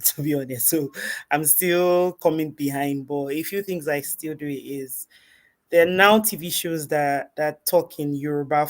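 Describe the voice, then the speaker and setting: A woman, speaking modestly on a panel and laughing a little nervously. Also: sits low. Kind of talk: casual panel discussion.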